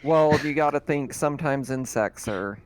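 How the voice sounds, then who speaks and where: A man's voice: medium pitch, crowd noise. A man running a tabletop horror game as game master, narrating casually with frequent laughs and filler sounds. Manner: laughs often, fills pauses with "uh" and "um".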